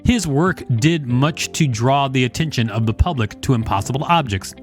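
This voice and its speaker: A man's voice: medium pitch, expressive, moderate pace, faint music behind. A man co-hosting a podcast, reading encyclopedia text aloud in a conversational way with casual asides. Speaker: podcast co-host.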